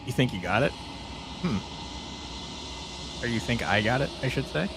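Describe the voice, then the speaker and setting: A man's voice: mid-range voice, big pitch swings, moderate pace, vocal fry, background noise. A man hosting a gameplay video, casually musing aloud and reading on-screen text. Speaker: gaming video host. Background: game music.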